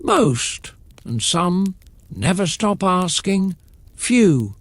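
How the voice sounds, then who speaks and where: A man voicing a serene, wise female Sphinx who speaks gently and cryptically in a bedtime story. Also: high voice, sing-song, very slow, pitched above the performer's own voice, exaggerated voice.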